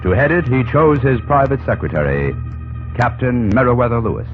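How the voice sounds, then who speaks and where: An actor as the solemn narrator of an old-time radio history drama, emphatic and portentous. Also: male voice, mid-range voice, big pitch swings, faint music behind. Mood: grand. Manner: emphatic, portentous, deliberate phrasing. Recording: tinny old radio recording.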